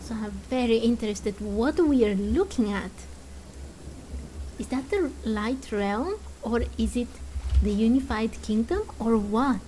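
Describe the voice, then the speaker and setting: High-voiced woman commenting on a game. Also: a little slow, dark tone, a little hiss or hum, poor audio. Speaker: gamer voicing a let's play.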